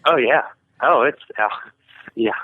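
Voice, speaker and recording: A man calling in to tell a ghost story in a casual, halting way, full of hedging fillers, over the phone. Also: mid-range voice, breathy edge, no echo. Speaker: caller sharing a ghost story. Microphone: telephone. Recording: tinny phone line.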